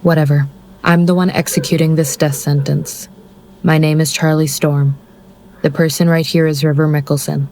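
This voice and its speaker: An actor playing a curt, by-the-book executioner in an audio drama, formal and deadpan. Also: medium pitch, moderate pace, a little hiss or hum, close to an everyday voice. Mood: impatient.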